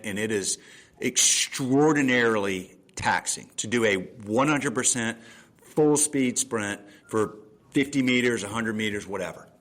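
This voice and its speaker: A man's voice: medium pitch, a bit bright. A man in his fifties hosting a podcast interview, talking casually and enthusiastically at a desk microphone, explaining ideas with everyday examples. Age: 50s. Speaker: podcast host. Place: room at a table with laptop.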